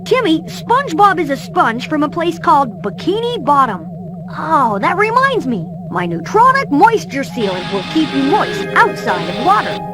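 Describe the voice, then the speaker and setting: A confident boy genius from a cartoon game. He is earnest and explanatory, with a high voice that moves around a lot. Music plays in the background. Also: big pitch swings, moderate pace. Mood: welcoming. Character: brainy, earnest boy inventor, confident young leader. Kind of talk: cartoon video game voice acting.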